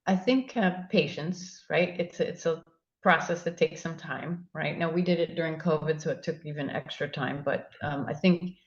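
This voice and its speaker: A middle-aged woman from California, a guest giving measured, thoughtful advice in a casual conversation. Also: sits low, lo-fi sound. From California, USA. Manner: measured, thoughtful, ends points with "right?". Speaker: interview guest.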